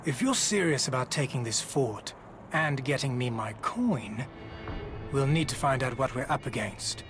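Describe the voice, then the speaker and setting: An actor playing a shrewd, coin-minded male mercenary captain in a video game cutscene, wry and measured. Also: mid-range voice, expressive, natural voice. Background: game score music.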